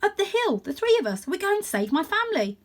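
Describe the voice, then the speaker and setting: A woman's voice: extremely high pitch, big pitch swings, fast talker, pitched above the performer's own voice. A woman voicing a young male cat, an earnest, naive and kind hero of a children's storybook. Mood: urgent, determined.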